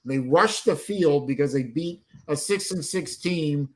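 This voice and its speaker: A man's voice: a little high, a little slow. Blunt, rambling middle-aged man. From Florida. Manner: rambling, blunt, swears freely. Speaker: livestream host. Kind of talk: casual livestream chat.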